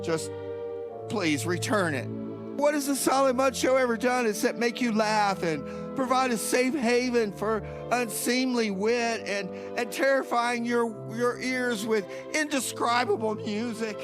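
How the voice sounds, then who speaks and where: Man playing a high-voiced, pompous pleading speechmaker. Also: unhurried. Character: aggrieved, melodramatic man giving press conference plea. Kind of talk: comedy radio theater speech. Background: music.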